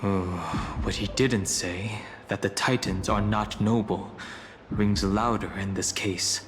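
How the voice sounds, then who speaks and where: Casting: calm, courteous young male game companion, unhurried formal delivery, mid pitch, reverberant hall with music. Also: a little hiss or hum. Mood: pensive. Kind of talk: scripted game dialogue.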